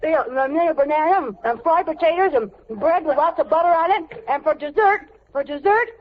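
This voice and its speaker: A woman's voice: high voice, a little fast, lightly acted. An American woman playing a brash, scheming housewife on a diet in a 1940s radio sitcom, exclamatory and wisecracking. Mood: gleeful, eager. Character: brash, scheming housewife who is dieting, comic and excitable. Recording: noisy, muffled old radio recording.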